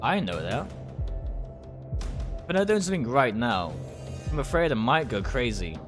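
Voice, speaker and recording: A man reading first-person game narration aloud dramatically, in short, deliberate phrases. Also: mid-range voice, big pitch swings. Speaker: game streamer reading a visual novel aloud. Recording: music behind the voice.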